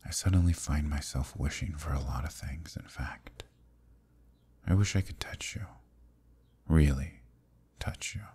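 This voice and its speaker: Man as an imprisoned eldritch male villain, ASMR roleplay, dark mellow tone, very breathy and husky, lonely, teasing, seductive. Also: very deep voice, exaggerated voice. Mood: longing. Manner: slow, intimate, teasing. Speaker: voice actor.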